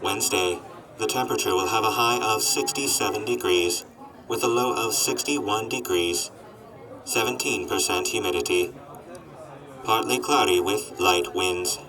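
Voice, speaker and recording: An actor voicing a gloomy, miserable male robot in a radio drama, speaking in a flat machine monotone. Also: lowish voice, moderate pace, far from the mic, music in the background, natural voice, rough recording.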